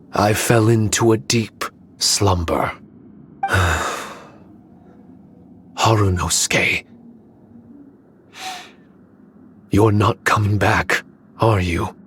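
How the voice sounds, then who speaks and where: A man's voice: lowish voice, a little hiss or hum. A man voicing an ancient, loyal guardian spirit in a video game, speaking formally with solemn, wistful weight. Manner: solemn, formal, measured delivery. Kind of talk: dramatic video game dialogue.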